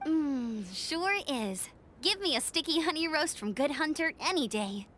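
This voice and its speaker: An actress playing an upbeat, caring young woman scout who talks eagerly and warmly to a friend. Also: high-pitched, animated, measured pace, slight background noise. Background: game music.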